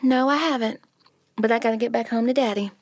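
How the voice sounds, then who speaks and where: A woman's voice: on the high side, steady tone, brisk, no room sound, studio quality, close to an everyday voice. A woman playing a caring young woman in a radio play, polite and frankly reproving. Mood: gently concerned.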